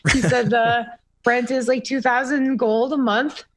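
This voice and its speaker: A woman's voice: on the high side, slow. A woman with a Canadian Ottawa Valley accent, co-hosting a podcast in a teasing, playful way. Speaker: podcast co-host. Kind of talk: casual podcast chat.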